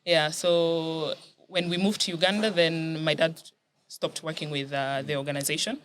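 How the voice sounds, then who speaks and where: A woman's voice: low voice, fairly even intonation, normal speed, slight background noise. A young woman from Kenya telling her life story as a guest in a casual chat, laughing often.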